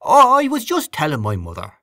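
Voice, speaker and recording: A man reading an audiobook, voicing an excitable, sincere man who gushes praise in emphatic, halting repetitions. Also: a little high, dry recording, clean studio sound, voice pushed up, exaggerated voice. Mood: gushing, moved. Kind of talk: acted audiobook dialogue.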